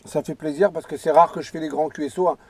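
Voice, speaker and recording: A man's voice: fairly high pitch, fairly even intonation, fast talker, darkish tone, breathy edge, no echo. A man chatting casually as an amateur radio operator, rambling and repeating his phrases. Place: in or beside a parked car outdoors.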